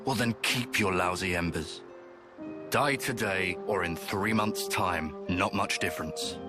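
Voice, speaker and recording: An actor playing a bitter, hostile soldier in a video game cutscene, with a menacing, sneering, drawn-out delivery over music. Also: male voice, low-pitched. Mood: scornful. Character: bitter, hostile male soldier grieving a friend.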